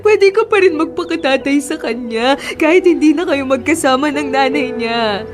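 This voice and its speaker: A woman's voice: high-pitched, normal speed, natural voice. A woman playing a heartbroken, clinging young mistress in a Filipino radio love drama, emotional and pleading. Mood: desperate coaxing. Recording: music bed behind the voice.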